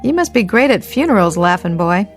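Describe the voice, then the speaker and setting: An actress playing a feisty, anxious hospitalized dancer in a radio drama, with an American accent and a slangy, defiant, wisecracking manner. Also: female voice, mid-range voice, big pitch swings, brisk, faint music behind. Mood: sarcastic, defiant. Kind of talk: old-time radio drama acting.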